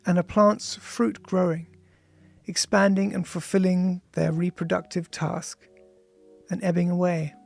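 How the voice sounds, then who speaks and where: A man with a high, noticeably creaky voice narrates an audiobook as its author. His delivery is measured and contemplative, with deliberate pauses between phrases. Music plays behind him in the studio recording. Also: a little mellow. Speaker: author reading own audiobook.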